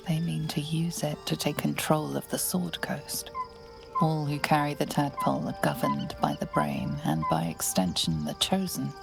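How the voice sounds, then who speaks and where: Brooding female narrator, very gravelly, deep, deliberate, portentous fantasy game storytelling, music behind. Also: heavily acted. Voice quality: low, gravelly. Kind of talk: scripted second-person game narration.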